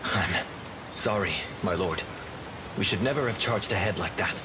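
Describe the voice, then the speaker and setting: Actor as a low-voiced, humbled militia fighter. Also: male voice, normal speed, natural voice. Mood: contrite. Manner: deferential. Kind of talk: video game cutscene voice acting.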